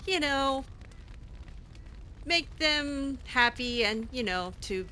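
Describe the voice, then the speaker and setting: A woman's voice: sits high, expressive, very slow, bright, forceful tone, a little hiss or hum, low-quality recording. A woman improvising in-character roleplay as she plays a game, chatty, hesitant and wry. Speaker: gaming channel host roleplaying a mage character.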